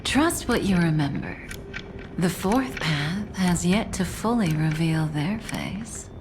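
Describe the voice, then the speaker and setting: Wise mysterious game mentor lady, slow soothing kinda low voice, noisy ambience behind. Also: expressive. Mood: calm, guiding. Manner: measured, soothing, cryptic. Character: calm, wise, enigmatic woman mentor. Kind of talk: video game voice acting. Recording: clear background ambience noise.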